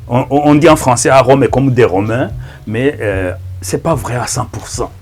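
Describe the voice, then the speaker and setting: A middle-aged Rwandan-born pastor whose first language is Kinyarwanda, praying and preaching slowly and solemnly, with frequent amens. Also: male voice, mid-range voice, breathy edge. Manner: slow, solemn, with frequent amens and hushed thanks. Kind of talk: prayer and sermon introduction.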